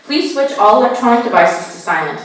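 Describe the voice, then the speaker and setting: A woman moderating a candidate forum, reading the ground rules carefully and slowly spelling out web addresses. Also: a little high, animated. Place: college meeting room. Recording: echoey hall, voice from far off.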